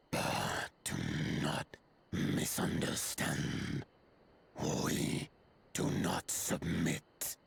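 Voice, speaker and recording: Stern proud warrior, extremely slow, raspy and husky, halting weighty phrases, fantasy game. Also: male voice, rumbling low, exaggerated voice. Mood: grave. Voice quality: very gravelly, husky. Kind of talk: fantasy video game acting.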